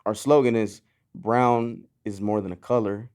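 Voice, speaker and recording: Brief: male podcast guest, born in Mexico, lowish pitch, easygoing and rambling, many restarts. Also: steady tone, unhurried, a little mellow. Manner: loose and rambling, lots of "you know" and "like", frequent restarts. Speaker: guest, clothing brand founder. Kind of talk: casual podcast conversation.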